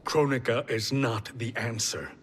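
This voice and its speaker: An actor playing a noble, hot-tempered warrior in a video game, with a forceful and earnest delivery. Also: male voice, medium pitch, steady tone, unhurried, slight background noise, natural voice. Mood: solemn defiance. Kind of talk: cinematic video game acting. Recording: dramatic game score behind.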